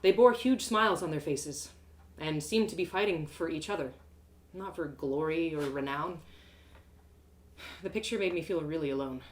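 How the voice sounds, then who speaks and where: A woman reading a fantasy story aloud in an expressive, first-person narrating style. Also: sits low, moderate pace, long pauses, a little hiss or hum.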